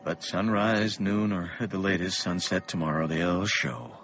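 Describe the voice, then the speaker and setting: An actor playing an earnest middle-aged father in a radio play, speaking in a theatrical, deliberate and emphatic way. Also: deep voice, a bit bright. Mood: grave, reassuring. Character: earnest ageing father defying evil with tenderness. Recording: dull lo-fi audio with music.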